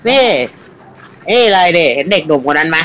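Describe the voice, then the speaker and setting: A man's voice: on the high side, lively intonation, brisk, bright, forceful tone, voice pushed down. A man playing a boastful Sun in an improvised comic fable, exclaiming and teasing as he issues challenges. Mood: cocky, teasing. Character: boastful male Sun challenging the Wind to a contest.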